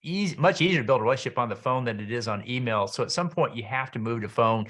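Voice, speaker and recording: A man's voice: medium pitch, expressive, fast talker, a bit bright. A mature man with a mid-range voice hosts an interview. He speaks conversationally, putting questions and offering his own coaching points. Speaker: interview host.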